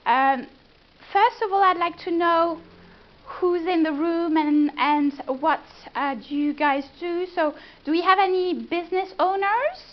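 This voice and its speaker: High-voiced French woman presenting. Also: a little slow. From France. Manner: chatty, engaging, asks the room questions. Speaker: business consultant presenting. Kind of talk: live presentation to an audience. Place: event room before a live audience. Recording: dull lo-fi event recording.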